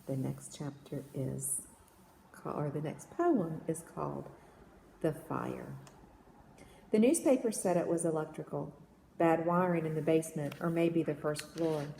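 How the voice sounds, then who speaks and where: A woman reading children's poems aloud in an expressive storytelling manner, recorded in an echoey room. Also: low voice, unhurried, creaky. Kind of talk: read-aloud of children's verse novel. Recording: echoey reverberant room with background noise.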